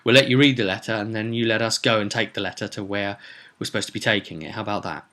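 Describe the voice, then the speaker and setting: A man's voice: on the low side, fairly even intonation, fast, sharp tone. A man voicing a hard-nosed, wary mercenary in a tabletop game, speaking bluntly and defensively. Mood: defensive, bargaining.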